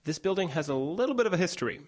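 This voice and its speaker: Casting: a man playing a businesslike theater colleague, fairly high male voice, direct, naturalistic radio drama. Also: animated, racing. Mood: serious. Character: matter-of-fact male radio theater colleague running rehearsal. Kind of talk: naturalistic audio drama acting.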